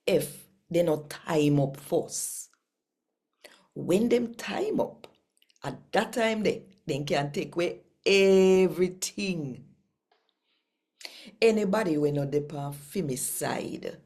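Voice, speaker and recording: A woman with a Jamaican accent reading scripture expressively and addressing listeners directly, with deliberate, emphatic storytelling and pauses. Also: lowish voice, darkish tone. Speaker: host reading daily scripture.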